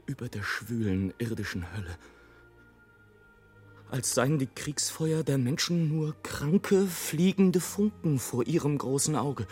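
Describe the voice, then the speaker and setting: A lone romantic balloonist played by a male actor delivers a radio-drama monologue in a slow, declamatory voice. He is wrathful, rapturous and defiant of death, moving between hushed and impassioned delivery. His voice sits at a middling pitch, with music underneath. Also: close to an everyday voice. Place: studio radio production.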